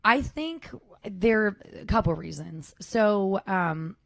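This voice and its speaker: A woman's voice: medium pitch, animated, slow pace, gravelly. A young woman in casual podcast chat, joking and laughing easily as she explains beer brewing.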